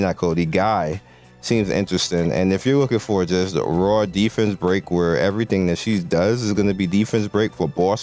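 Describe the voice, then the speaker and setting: A man's voice: low voice, darkish tone. A man losing his voice, giving laid-back, slangy game commentary with lots of restarts. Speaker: mobile game content creator.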